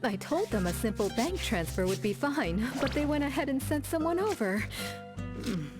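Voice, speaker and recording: A woman's voice: high-pitched, very animated, moderate pace. A woman voicing a suave, money-loving antique shopkeeper in an anime game, languid and teasing. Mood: languid, pleased. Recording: music behind the voice.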